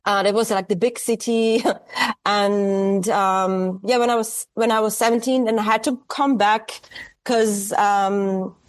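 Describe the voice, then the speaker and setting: A woman's voice: mid-range voice, fairly even intonation, measured pace. A woman in her forties from Austria with an Austrian German accent, a German native speaker, reflecting thoughtfully as an artist guest, hesitant with many fillers and restarts. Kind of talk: reflective interview conversation.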